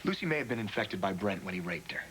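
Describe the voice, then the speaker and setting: A tense, protective friend. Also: male voice, lowish voice, lively intonation, quick pace, a bit bright, slight background noise, low-quality recording. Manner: tense, defensive, earnest. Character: protective man, worried about a friend. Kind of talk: TV drama acting.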